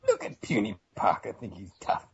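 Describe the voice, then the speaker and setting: A man voicing a mocking teenage school bully with a raspy, creaky villain voice, in an amateur cartoon reading over an internet call. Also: fairly low pitch, wildly swooping pitch, darkish tone, muffled recording, exaggerated voice. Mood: gleeful taunting. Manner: taunting, drawn-out, swooping.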